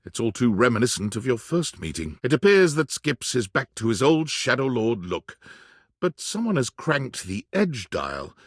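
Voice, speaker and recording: A man narrating a supernatural video game in the second person, with measured, theatrical scripted delivery. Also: medium pitch, huge pitch swings, no room sound, clean studio sound.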